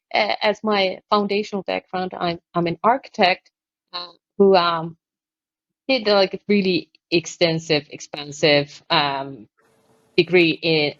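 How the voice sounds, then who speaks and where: A female interview guest with a Finnish accent and a fairly low voice. She answers in a conversational style. The sound is very dry and a little dull. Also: dry recording. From Finland.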